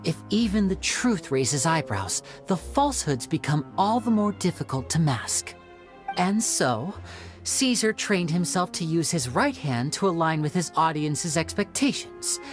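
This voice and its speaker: A smooth young showman magician. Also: on the high side, lively intonation, unhurried. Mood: deducing. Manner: smooth, theatrical, explanatory. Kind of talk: video game voice acting. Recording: game audio with soft music.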